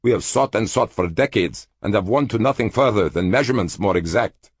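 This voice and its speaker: Brief: a male reader voicing a fervent pleading man, raised and lifted, husky, dry audiobook. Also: fairly low pitch, animated, fairly quick, no echo, pitched above the performer's own voice. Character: earnest pleading man of a caged world. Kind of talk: audiobook character voice.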